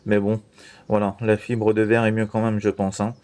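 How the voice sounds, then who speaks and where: A man chatting casually as a radio operator, halting and reassuring, often tagging his phrases. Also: lowish voice, completely flat delivery, a little mellow. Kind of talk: casual two-way radio chat.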